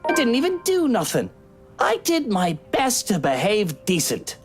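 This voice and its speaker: Give the role of a giggly, maudlin husband, very drunk, in a visual novel. The delivery is slurred and melodramatic, swinging widely in pitch and often climbing high, with light music underneath. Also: male voice, wildly swooping pitch, measured pace, husky, exaggerated voice. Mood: drunken, aggrieved. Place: studio game voice track with music behind.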